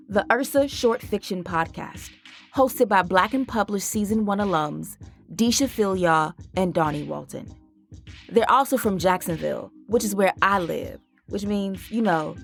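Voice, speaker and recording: American woman, informal podcast host intro, gravelly edge, mid pitch, faint music underneath. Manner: informal, chatty, slangy. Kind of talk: warm-up podcast introduction, direct address to listeners.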